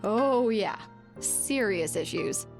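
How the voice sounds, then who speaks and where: A world-weary, mischievous female bathhouse worker in a video game, with a mid-pitched voice. She drawls dry, mocking remarks over faint music. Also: expressive, slow. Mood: gossipy, mocking. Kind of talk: scripted game dialogue.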